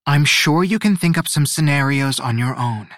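A composed, authoritative woman played by a male narrator addresses her young charges in an audiobook. Her voice is lifted in pitch, with formal, measured wording and a firm manner. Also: expressive, fairly bright tone, no room sound, studio quality.

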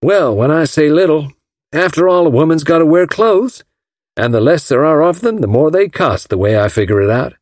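Guy doing a folksy rich gentleman, gushy drawl, pitched up and loud. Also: expressive, normal speed, no echo, clean studio sound, natural voice. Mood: effusive. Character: wealthy Alabama gentleman, gushing and admiring.

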